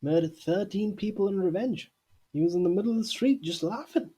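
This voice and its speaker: Woman as husky, cheeky young bus conductor. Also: medium pitch, fairly quick, warm tone, lightly acted. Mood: gossipy, ominous. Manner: colloquial, chatty, gossipy. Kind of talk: audio drama character acting. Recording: music under the voice.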